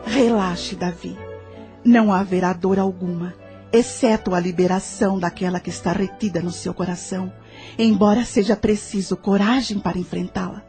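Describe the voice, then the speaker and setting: A woman with a Brazilian accent playing a calm, kindly spirit guide in an audio drama, speaking patiently and instructively. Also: mid-range voice, moderate pace, faint music behind, lightly acted. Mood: soothing. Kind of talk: scripted audio drama dialogue.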